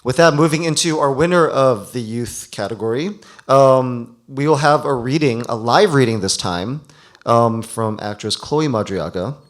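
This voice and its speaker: Male event host, mid-pitched, informal announcing at a live ceremony, slightly halting with self-corrections. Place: live event before an audience.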